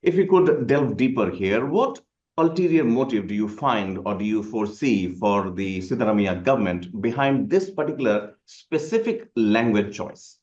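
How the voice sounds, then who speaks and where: A man's voice: fairly low pitch, animated, normal speed, a little mellow. A man hosting a news interview, asking questions with many hesitant fillers. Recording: echoey, dull lo-fi sound.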